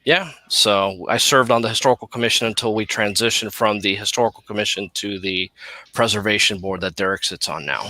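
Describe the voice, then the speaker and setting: A man from Miami whose first language is Spanish, a teacher calmly telling his background as a panel guest. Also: fairly low pitch, fairly quick, no echo, poor audio. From Miami, USA. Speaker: guest, social studies teacher. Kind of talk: panel discussion.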